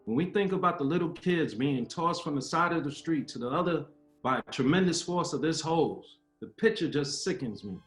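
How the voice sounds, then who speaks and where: A man's voice: a little high, animated, normal speed, close to an everyday voice. An actor playing an earnest baseball great, father and civil rights speaker in a dramatic table read, with oratorical delivery. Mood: solemn oratory. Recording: roomy echo with music behind. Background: music.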